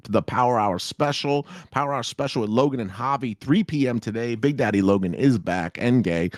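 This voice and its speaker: A man, hosting a live show in loud, hyped, slangy casual chat that keeps repeating itself. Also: medium pitch, fairly quick, dry recording, clean studio sound. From South Florida. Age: 30s. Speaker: host of a trading live show.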